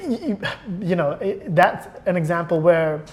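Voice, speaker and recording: A man's voice: sits high, expressive, unhurried, darkish tone. A man speaking as a podcast guest in a casual interview, reflective and thinking aloud.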